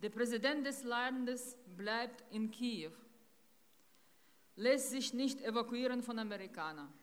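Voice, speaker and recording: Middle-aged woman, rally speech, slow and solemn, long echo from the square. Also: on the high side. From Ukraine. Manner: slow, grave and deliberate. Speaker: rally speaker. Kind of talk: public speech. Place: outdoors in a town centre.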